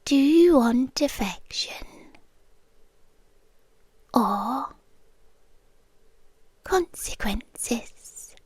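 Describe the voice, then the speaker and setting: A woman with a British accent playing a possessive, softly menacing yandere girlfriend in a hushed, intimate ASMR roleplay, close to the microphone. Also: sits high, expressive, slightly rough, dry recording, full character voice. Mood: reflective, menacing. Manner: hushed, often whispering, deliberate pauses.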